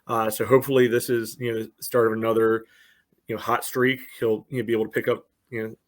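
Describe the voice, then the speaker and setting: A man's voice: mid-range voice, level tone, fast. A man co-hosting a sports podcast, giving casual analysis in a loose way peppered with filler phrases. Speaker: podcast co-host.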